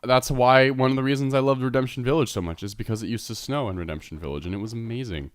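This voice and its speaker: A man's voice: medium pitch, fast, dry recording. A man with a stuffed-up, congested nose, chatting his way through a tour of a Minecraft build and correcting himself as he goes. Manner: rambling, self-correcting, chatty. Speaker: Minecraft server let's player. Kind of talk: casual gameplay commentary.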